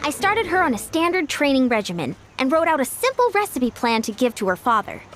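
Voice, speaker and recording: A woman's voice: sits high, very animated, fairly quick, a bit bright, soft background music. A woman voicing an upbeat, encouraging young fitness coach in a video game, confident and instructive.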